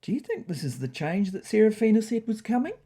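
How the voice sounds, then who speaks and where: A woman's voice: mid-range voice, sing-song, quick pace, warm tone, slightly rough, pitched above the performer's own voice. A woman voicing a laid-back, dry-witted male cat in a storybook. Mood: curious.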